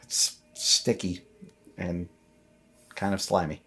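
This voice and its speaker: A man's voice: deep voice, steady tone, slow, a bit bright, faint music behind. Man narrating a horror tabletop game. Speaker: game master.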